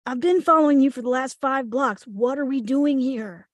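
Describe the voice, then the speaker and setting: A woman's voice: high-pitched, normal speed, dry recording, close to an everyday voice. A woman reading the part of Carrie, a skeptical, bossy girl who keeps firing back questions. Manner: questioning, sharp retorts. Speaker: table read actor. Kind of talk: amateur table read.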